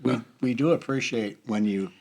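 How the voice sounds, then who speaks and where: A man's voice: mid-range voice, moderate pace, creaky, over a noisy crowd. A man over fifty chatting casually as a member of a community group. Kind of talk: casual chat.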